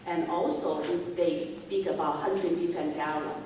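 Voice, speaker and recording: A middle-aged woman gives an earnest public speech in English, stacking repeated phrases into rhetorical questions. Her voice sits fairly low. She is picked up from far off in a large conference hall with heavy, long echo and a faint hiss behind her. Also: steady tone, normal speed, dark tone. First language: Chinese. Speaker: conference speaker.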